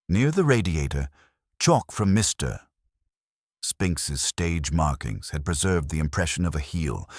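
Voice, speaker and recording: A very low-voiced man narrates a literary mystery. His delivery is formal and measured, with lively swings of intonation. The sound is dry and close. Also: no echo. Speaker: audiobook narrator.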